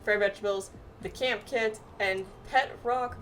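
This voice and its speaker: A woman's voice: mid-range voice, fairly even intonation, unhurried, a bit bright, slight background noise. A woman chatting casually through a game playthrough and reading bits of game text aloud. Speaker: gamer playing through a story quest with friends.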